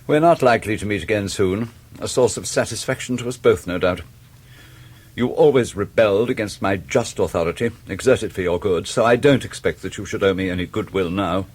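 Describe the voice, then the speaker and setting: Cold Victorian English gentleman for radio drama: low, husky, formal, disdainful. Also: fairly quick. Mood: stern disdain. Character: cold, stern, menacing Victorian gentleman, middle-aged.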